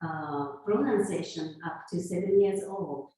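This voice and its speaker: Japanese-accented woman, 50s, slow and halting, low-ish voice, roomy echo, off-mic. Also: recorded from a distance. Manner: unhurried, halting, soft laughs between thoughts. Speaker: guest, sound healer. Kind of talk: conversational interview.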